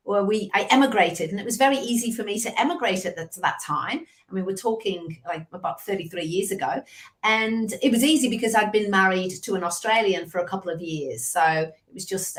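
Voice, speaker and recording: A woman in her fifties from England with a Liverpool accent, a sales coach telling stories as a guest on a video call interview. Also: mid-range voice. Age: 50s. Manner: chatty storyteller, lively asides. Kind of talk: casual interview chat.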